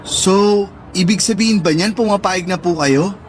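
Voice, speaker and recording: A man's voice: high-pitched, moderate pace, faint music behind, natural voice. A man acting an affectionate, pleading son who speaks respectfully to his mother in a radio drama.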